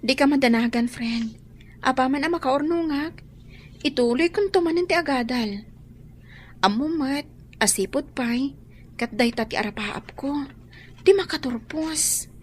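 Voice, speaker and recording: Worried young student daughter, high voice, plaintive and earnest, radio drama, faint background noise. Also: female voice, a little slow, pauses often. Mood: resigned, determined. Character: worried, self-sacrificing young student daughter of a poor family.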